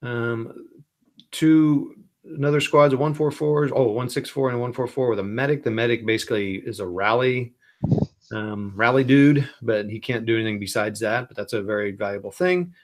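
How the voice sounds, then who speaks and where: A man hosting a board game stream, calmly explaining the rules with lots of ums, talking into a computer mic. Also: medium pitch. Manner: unhurried, many ums, thinks aloud over rules. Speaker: wargaming stream host. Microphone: computer mic at his desk.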